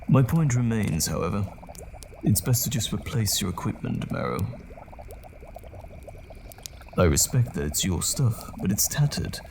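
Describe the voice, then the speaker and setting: Male actor for battle-weary fantasy rogue: gravelly, very lively pitch swings, wry gallant banter, noisy ambience behind. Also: moderate pace. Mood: weary, practical. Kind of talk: fantasy audio drama acting.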